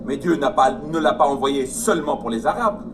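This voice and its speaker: A man's voice: medium pitch, moderate pace. Distant man talking over background noise. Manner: conversational, hesitant, lots of fillers. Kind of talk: casual explanation in a debate. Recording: distant mic with ambient noise.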